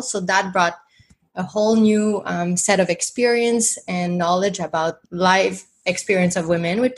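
A woman in her thirties from Montreal with a Québécois French accent, French being her first language, speaking as a dietitian guest in a relaxed, thoughtful way. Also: medium pitch. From Montreal, Canada. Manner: thoughtful, with frequent fillers and restarts. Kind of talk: casual interview conversation.

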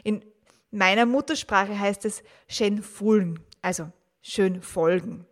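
A woman in her forties hosting her own podcast, telling a personal story about how she learned to talk with children, calm and reflective, asking the listener questions. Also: mid-range voice, takes it slowly. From grew up in the 1980s and 1990s. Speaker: podcast host, teacher and mother.

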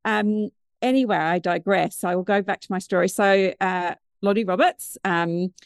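A British woman in her fifties from the UK, a guest telling her story in a chatty, animated, digressive way. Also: mid-range voice, moderate pace, no echo, clean studio sound. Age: 50s. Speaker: guest, mindfulness business founder. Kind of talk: conversational interview storytelling.

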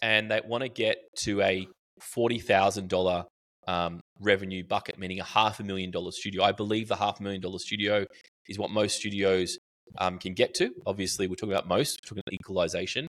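Chatty Australian man, lowish voice. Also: fast. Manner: animated, storytelling with restarts and fillers. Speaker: podcast guest, marketing educator. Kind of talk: casual interview chat.

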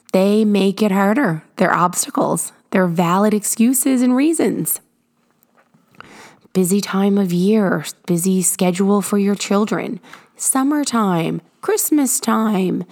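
A woman hosting a motivational podcast, her voice hoarse and raspy from illness, talking earnestly and encouragingly from her garage. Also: mid-range voice, darkish tone. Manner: earnest, encouraging, drawn-out emphasis. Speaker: podcast host.

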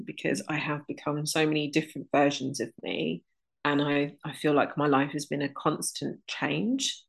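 Gravelly, husky woman in her thirties. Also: deep voice. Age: 30s. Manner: earnest, unhurried, lists things in runs. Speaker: guest, energy alignment teacher. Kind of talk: reflective interview talk.

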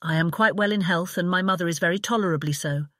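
A woman's voice: lowish voice, fast talker, fairly bright tone, no room sound, natural voice. Bereaved Regency sister played by a woman, audio drama letter reading. Genteel, tender, formal and composed, close dry sound. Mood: composed grief.

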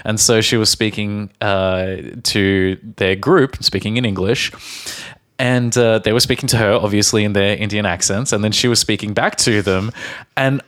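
Low-voiced man telling a rambling story. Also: steady tone, fairly bright tone. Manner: rambling, halting anecdotes with frequent restarts. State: sober. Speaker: podcast co-host. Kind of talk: casual chat, storytelling.

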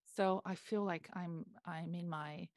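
Calm-voiced woman career coach. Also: lowish voice, dry recording. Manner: measured, thoughtful, unhurried. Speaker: career coach and podcaster, guest. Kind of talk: reflective conversation.

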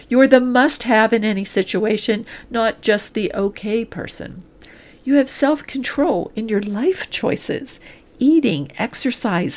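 Woman coach giving an earnest, deliberate pitch. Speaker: executive coach and podcast host. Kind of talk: scripted promotional pitch addressed to the listener.

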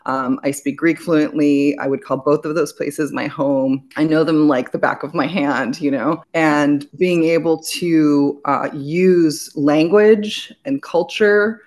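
A woman's voice: low voice, steady tone, slow, few pauses, a little mellow. A woman raised in Belgium, a business owner speaking as a guest in an interview, talking earnestly in long flowing sentences. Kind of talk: conversational interview.

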